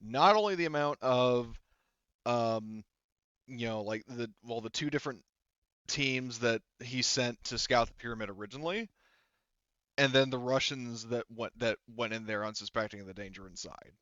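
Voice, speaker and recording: A man narrating a tabletop roleplaying game as game master, with halting phrasing and frequent repeats. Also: mid-range voice, a bit bright, clear, resonant tone, dry recording, highs cut off.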